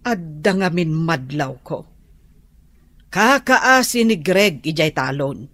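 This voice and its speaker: A woman's voice: low-pitched, animated, slow, fairly bright tone, dry recording, exaggerated voice. An actress playing a concerned older village woman who questions what she hears. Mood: doubtful. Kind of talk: radio drama acting.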